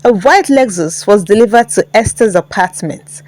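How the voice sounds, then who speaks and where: A woman's voice: medium pitch, animated, moderate pace, a little mellow, no room sound. A woman with a Nigerian accent telling a story in a dramatic scripted narration.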